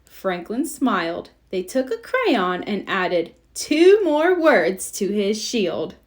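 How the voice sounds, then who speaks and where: Slow-paced woman reading a children's story. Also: medium pitch, sing-song. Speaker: storybook reader. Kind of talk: expressive children's read-aloud narration.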